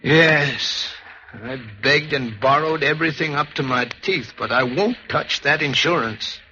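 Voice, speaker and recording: Vintage radio husband: middle-aged, weary yet stubborn, forceful lightish voice, muffled old recording. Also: male voice, fairly high pitch, measured pace, a little hiss or hum. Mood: weary, defeated. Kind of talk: 1940s radio drama acting.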